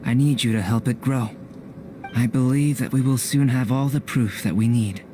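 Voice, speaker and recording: An actor playing a calm young alchemist scholar in a game cutscene, speaking softly with precise, formal, contemplative phrasing. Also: male voice, mid-range voice, moderate pace, soft background music, lightly acted. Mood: composed, intrigued. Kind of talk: scripted game cutscene acting.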